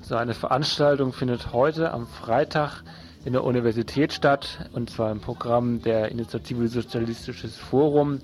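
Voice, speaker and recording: A man presenting event announcements on the radio, speaking a little haltingly with small hesitations. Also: medium pitch, steady tone, darkish tone.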